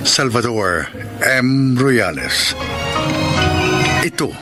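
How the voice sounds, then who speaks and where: A man serves as announcer for a radio drama, reading credits and introductions theatrically. He speaks slowly with sweeping intonation at medium pitch, over loud music. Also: very animated. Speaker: announcer and narrator. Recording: loud music bed behind the voice.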